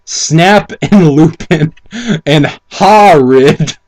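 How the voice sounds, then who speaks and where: A man's voice: a little high, drawn-out words, a little mellow. A man doing a mock dramatic reading with casual commentary, incredulous, sweary and theatrical. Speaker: amateur commentator reading fan fiction aloud.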